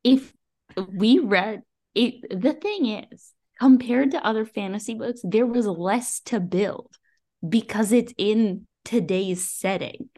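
A woman's voice: fairly high pitch, slow pace, a little mellow. A woman co-hosting a book podcast in a casual chat, giving blunt, jokey opinions.

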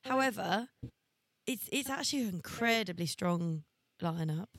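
A hoarse woman co-hosting a podcast in casual, blunt, jokey chat. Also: medium pitch, animated, moderate pace, no echo, studio quality. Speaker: podcast co-host.

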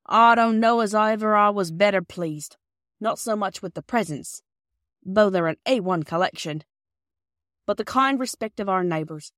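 Woman voicing a gruff, proud working man. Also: medium pitch, normal speed, fairly bright tone, no room sound, studio quality, pitched above the performer's own voice, natural voice. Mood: moved, gruff. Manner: gruff, rustic dialect speech. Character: gruff, proud working-class man, stubborn but soft-hearted.

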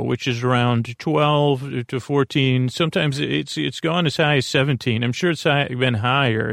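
Male podcast host, creaky and lulling, wandering and self-interrupting delivery, very dry recording over faint music. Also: medium pitch, no echo. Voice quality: creaky, croaky. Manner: meandering, halting, stammering, self-interrupting; soothing, lulling. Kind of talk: rambling bedtime podcast monologue.

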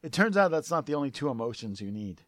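A man co-hosting a casual comedy podcast, joking and self-deprecating, his voice going hoarse from strain. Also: medium pitch, big pitch swings, fast talker, a bit bright, no room sound, clean studio sound. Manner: jokey, self-deprecating, tries silly cartoon voices. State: losing his voice, throat clearing. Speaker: podcast co-host.

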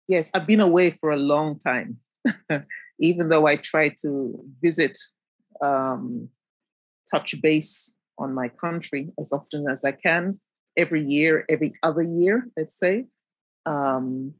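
Woman, fifties, mixed Jamaican-British accent, husky, dry recording, guest with a ready laugh. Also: low-pitched, no echo, rough recording. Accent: mixed Jamaican and British, hard to place. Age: 50s. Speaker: podcast guest. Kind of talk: casual conversation.

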